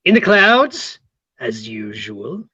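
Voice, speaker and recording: A woman's voice: very deep voice, sing-song, slow pace, bright, forceful tone, husky, no echo, lo-fi sound, exaggerated voice. A woman playing a nosy, gossipy, romance-hungry neighbour with melodramatic delivery in a stage comedy read. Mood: calling, teasing. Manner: melodramatic, prying.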